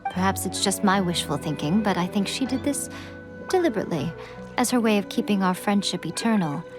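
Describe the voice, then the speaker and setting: A woman playing an elegant, shrewd fox spirit in a video game, teasing and coy, with measured, formal phrasing. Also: mid-range voice, lively intonation, lightly acted. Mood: wistful, resolute. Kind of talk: scripted video game voice acting. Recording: background music under the voice. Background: game soundtrack music.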